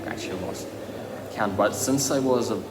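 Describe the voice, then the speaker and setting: An eighteen-year-old man from Russia, later raised in Luxembourg, answering interview questions as a podcast guest in a halting, deliberate way with formal, wordy phrasing. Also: on the low side. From Russia (Eastern Siberia, Moscow), then Luxembourg from age ten. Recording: echoey room, loud background noise.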